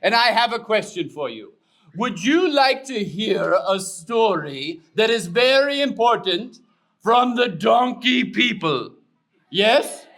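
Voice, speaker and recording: A man voicing a pompous donkey puppet who tells stories to children in a deliberate, theatrical manner. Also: high-pitched, lively intonation, a bit bright, full character voice. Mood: pompous, worn out, then rousing. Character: grandiose weary old-fashioned gentleman donkey storyteller puppet. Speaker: puppet show storyteller.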